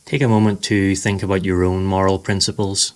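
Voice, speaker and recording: A man narrating a scripted guided meditation in a slow, soothing, measured way. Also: low-pitched, flat delivery.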